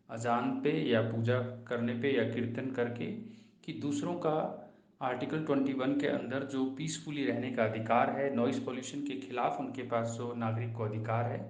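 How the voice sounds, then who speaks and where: A man's voice: medium pitch, a little mellow. Man explaining law in an echoey room. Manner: emphatic, code-switches into English legal terms. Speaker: host of a legal explainer channel. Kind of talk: explanatory legal commentary with acted dialogue.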